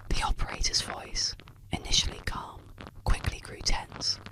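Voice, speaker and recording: Breathy whispering, ASMR narrator, soft and deliberate, scripted spooky storytelling. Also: poor audio.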